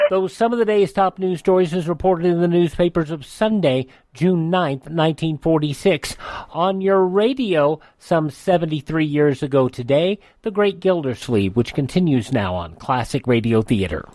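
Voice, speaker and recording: Higher-pitched male radio newsreader. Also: a little mellow. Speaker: radio host reading vintage headlines. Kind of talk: scripted newsreading with datelines.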